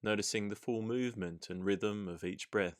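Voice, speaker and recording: A man narrating a guided meditation as the guide, in a calm, soothing, measured manner with gentle instructions. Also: on the low side.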